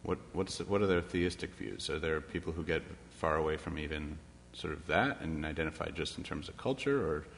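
A man's voice: very deep voice, fairly quick, creaky, hardly any pauses. A man interviewing informally, tentative and searching for his words as he frames questions. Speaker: interviewer, moderator. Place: large echoey room or hall. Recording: reverberant hall with background noise.

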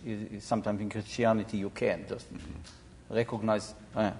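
A man in his seventies from Israel, explaining informally to an audience in a halting, reflective way. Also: lowish voice, little pitch movement, darkish tone, a little hiss or hum. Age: 70s. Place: large echoey room or hall. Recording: reverberant hall sound.

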